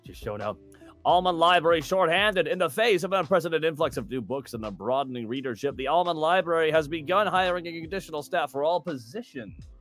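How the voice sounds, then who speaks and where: A man's voice: a little high, expressive, brisk, a bit bright, faint music behind. A man co-hosting a live stream, chatting casually and reading aloud. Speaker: stream co-host.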